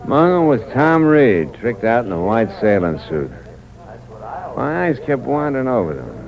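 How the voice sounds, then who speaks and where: A man's voice: mid-range voice, big pitch swings, moderate pace. An actor playing a mortally wounded young ex-convict who tells his story in tough, hard-boiled speech. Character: dying young ex-convict drifter. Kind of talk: 1950s radio drama acting. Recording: dull old radio recording with hiss.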